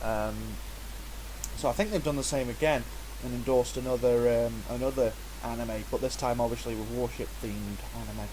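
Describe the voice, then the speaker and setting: A man's voice: medium pitch, fairly even intonation, moderate pace, noisy background. A man chatting through a game he is playing, self-mocking and talkative, sounding stuffy from a cold. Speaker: gaming channel host. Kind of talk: casual gameplay commentary.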